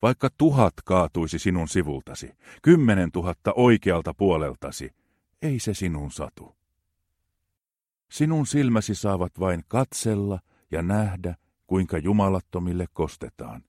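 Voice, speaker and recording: A man reading psalms aloud in a slow, measured, reverent way. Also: sits low, big pitch swings, a little mellow, no room sound, clean studio sound. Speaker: scripture reader.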